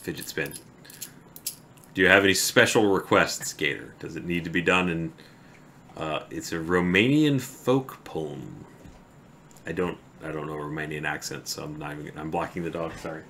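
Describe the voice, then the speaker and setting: A man streaming from home, chatting with viewers in a halting way that trails off into quiet mumbles, then reading a poem slowly. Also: rumbling low, a little hiss or hum. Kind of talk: casual chat with stream viewers; slow, deliberate poetry reading.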